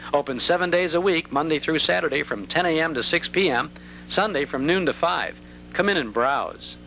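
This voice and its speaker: Higher-pitched male commercial announcer. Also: moderate pace, vocal fry, sharp tone, a little hiss or hum, rough recording. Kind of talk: scripted advertising narration.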